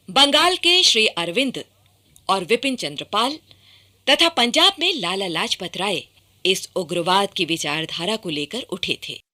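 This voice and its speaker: A woman reading scripted radio documentary narration with formal, expressive enunciation. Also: medium pitch.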